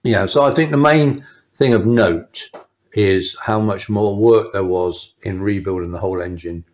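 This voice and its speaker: Deep-voiced mechanic, rambling. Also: male voice, a little slow. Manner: unscripted, rambling, with frequent restarts and fillers. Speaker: motorcycle mechanic. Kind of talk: workshop walkthrough talk. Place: small workshop indoors.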